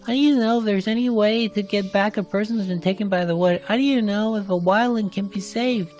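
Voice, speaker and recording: A woman's voice: mid-range voice, brisk, darkish tone, natural voice. A woman voicing a worried young girl with an earnest, pleading manner in narrated fantasy game dialogue. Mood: curious, hopeful.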